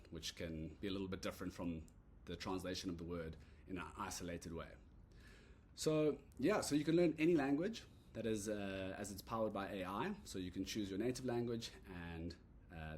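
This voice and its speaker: A man giving a relaxed, rambling walkthrough of an app he built, full of "uh" and "so yeah" fillers. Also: low voice, slight background noise. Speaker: app creator demoing his product. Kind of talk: casual screen-recorded product demo. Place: at a computer recording a screen demo.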